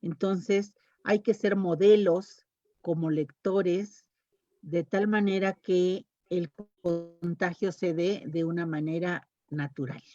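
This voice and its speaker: A woman offers a measured, reflective opinion in a panel discussion. She speaks very slowly in a fairly low voice, and the recording is dry but dull and lo-fi. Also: fairly even intonation, dark tone. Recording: dull lo-fi video call audio.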